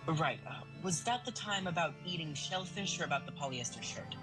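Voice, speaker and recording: Man playing a breezy, distracted, animated priest. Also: a little high, a little fast, music in the background, pitched above the performer's own voice, close to an everyday voice. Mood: awkward, formal. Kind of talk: audio drama acting.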